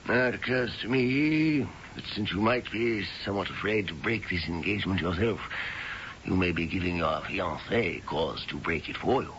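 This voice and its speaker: An actor playing a shrewd, overbearing army colonel in a radio drama, with gruff, pointed authority. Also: male voice, low voice, lively intonation, moderate pace, a bit bright. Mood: menacing. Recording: dull old radio recording, faint noise.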